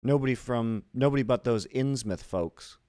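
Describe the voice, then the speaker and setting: A man acts out a shrewd, stout small-town ticket agent in a literary audiobook. The voice sits above the reader's usual deep register and is folksy and colloquial, like local gossip, in a dry recording. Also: moderate pace, warm tone, no echo, close to an everyday voice. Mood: folksy, doubtful.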